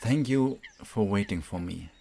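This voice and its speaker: A man playing a gentle young man in an audio drama, speaking softly and quietly. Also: lowish voice, expressive, unhurried, vocal fry.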